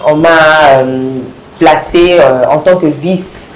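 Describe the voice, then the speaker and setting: A woman, hoarse from a cold, answering interview questions haltingly with many fillers and restarts. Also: deep voice, warm tone, roomy sound, poor audio. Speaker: guest, party women's league coordinator.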